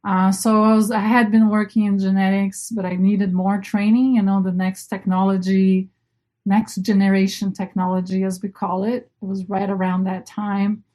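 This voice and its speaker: A woman's voice: medium pitch, little pitch movement, moderate pace, warm tone. Woman from Brazil, informal podcast guest. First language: Portuguese. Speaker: interview guest, marine biologist. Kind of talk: casual personal storytelling.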